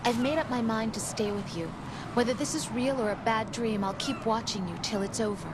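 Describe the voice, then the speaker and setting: A woman's voice: on the high side, brisk, noisy background, close to an everyday voice. An actress playing a devoted young woman in a video game, both firm and tender. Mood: tender, resolute. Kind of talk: dramatic video game voice acting.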